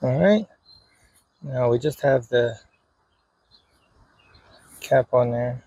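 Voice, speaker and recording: A man's voice: mid-range voice, extremely slow, warm tone. A man giving a casual, hands-on demonstration as a hobbyist video host, with informal, folksy wording. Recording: clear background noise, ambient sound.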